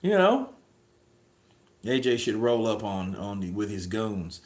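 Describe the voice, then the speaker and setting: A man hosting a boxing livestream, chatting casually in a rambling, profane, animated way. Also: lowish voice, measured pace, roomy sound.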